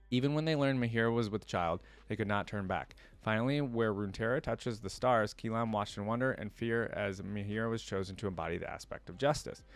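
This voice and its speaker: Low-voiced man, reading and reacting. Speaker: reaction video host. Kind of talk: reading stories aloud with casual commentary.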